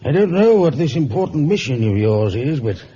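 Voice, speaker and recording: A very mellow, measured judge. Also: male voice, mid-range voice, sing-song, husky. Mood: genial. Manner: measured, reflective, gentlemanly. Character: genial, measured male judge with somber reticence. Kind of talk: vintage radio drama acting. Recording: muffled, hissy old radio recording.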